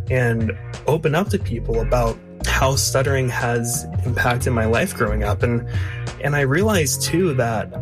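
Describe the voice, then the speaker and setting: A man in his twenties gives a reflective personal account with a noticeable stutter, repeating and blocking on sounds. His mid-pitched voice sits over clearly audible guitar music. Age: 20s. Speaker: featured contributor, speech language pathologist. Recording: music bed under the voice.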